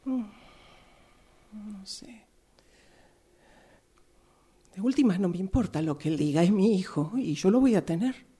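A woman playing an anxious but determined pregnant schoolgirl in an improvised radio drama, with an Argentine accent and a hesitant, breathy delivery. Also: mid-range voice, lively intonation, dark tone. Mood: determined, pleading. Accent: Argentine Spanish. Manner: hesitant, halting.

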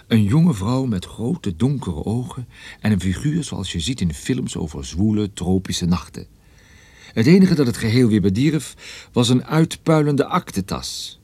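A man's voice: on the low side, lively intonation, a little slow, darkish tone, husky, no echo, full character voice. An actor playing a wry, easily rattled male private detective in a radio drama. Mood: wry, brooding. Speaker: lead actor and narrator. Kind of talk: radio detective drama with first-person narration.

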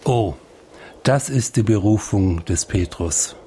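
A man's voice: on the low side, slow, noisy background. A man giving engaged, spontaneous commentary on a film, exclaiming and explaining as he goes. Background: loud music.

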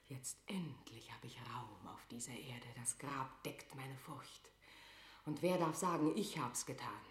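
An actress playing an imperious, scheming Queen of England in a declamatory classical radio drama style. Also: rumbling low. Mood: secretive, relieved. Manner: declamatory, regal, swinging from whisper to outburst. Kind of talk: classical German stage verse in radio drama. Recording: roomy studio radio drama echo.

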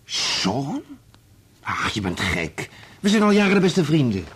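An actor playing an excitable, loyal son in a Dutch radio play, his voice slightly husky. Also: fairly high pitch, measured pace, heavily acted. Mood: surprised, disbelieving. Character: excitable, loyal son, stunned by a friend's betrayal.

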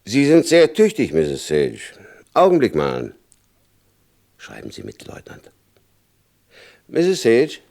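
An actor playing a hard, shrewd FBI chief in a German radio crime drama, condescending and insinuating, with a gravelly voice. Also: male voice, medium pitch, huge pitch swings, slow, pauses often, darkish tone, exaggerated voice. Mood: businesslike.

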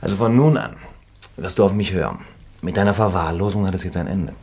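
A man's voice: low-pitched, sing-song, a little fast, vocal fry, darkish tone, breathy edge, heavily acted. An actor playing a brash, bossy male friend in a German radio play, teasing and hearty. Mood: bossy, scolding.

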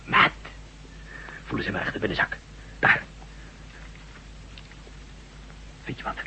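Wounded, urgent Russian official, sharp-voiced. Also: male voice, poor audio. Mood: pleading, urgent. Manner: halting, labored, pleading. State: weak, wounded, short of breath. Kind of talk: radio drama acting. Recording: radio recording with faint noise.

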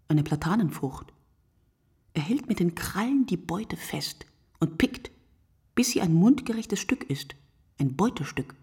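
A woman playing a reflective, poetic narrator in a literary radio play, speaking in a deliberate, declamatory way. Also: fairly low pitch, warm tone, husky, heavily acted. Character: reflective, poetic female narrator musing on sleep and night.

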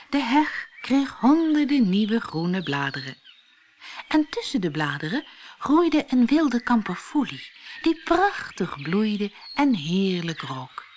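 A woman narrating a children's fairy tale in an expressive, deliberate manner. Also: mid-range voice, a little hiss or hum. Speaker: storyteller.

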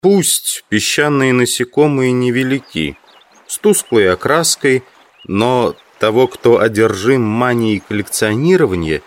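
A man playing an earnest, obsessive amateur entomologist in an audio drama, musing in a deliberate, reflective monologue. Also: lowish voice.

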